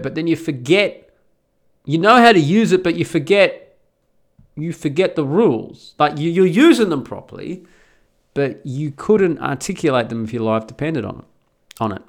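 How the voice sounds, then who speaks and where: An Australian man talking in a blunt, chatty way, with lots of restarts and the odd swear word, giving advice in a casual monologue. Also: a little high.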